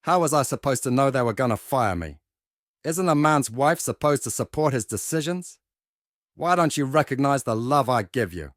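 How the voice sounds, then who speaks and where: A man's voice: medium pitch, animated, normal speed, dry recording, clean studio sound, lightly acted. A man voicing a crude, foul-tempered, self-pitying husband in a manga dub, talking belligerently. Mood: angry, aggrieved.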